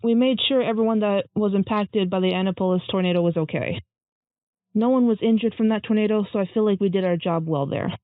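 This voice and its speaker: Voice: mid-range voice, fast, no echo, close to an everyday voice. A performer playing an earnest storm chaser giving scripted interview answers. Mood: earnest, sober. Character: earnest young storm chaser named Juniper, recounting past chases. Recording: muffled narrowband phone-like audio.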